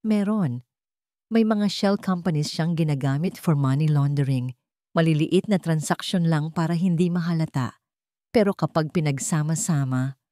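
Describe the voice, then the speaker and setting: A woman narrator voicing an earnest, hopeful estranged husband in a romantic drama, speaking gently. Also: deep voice, moderate pace, no room sound, studio quality, close to an everyday voice. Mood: confiding.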